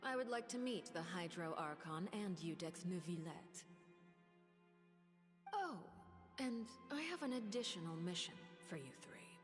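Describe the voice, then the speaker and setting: A woman acting a cool, calculating, secretive female spymaster in a video game, speaking in a measured, formal and deliberate way. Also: fairly low pitch, animated, faint music behind. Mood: cool, commanding.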